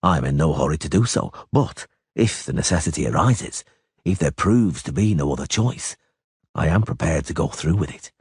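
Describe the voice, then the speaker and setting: A man's voice: extremely low pitch, expressive, normal speed, darkish tone, slightly rough, no echo, clean studio sound, full character voice. A man voicing a terse, cold male monster hunter, in an audiobook reading. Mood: grim, steady.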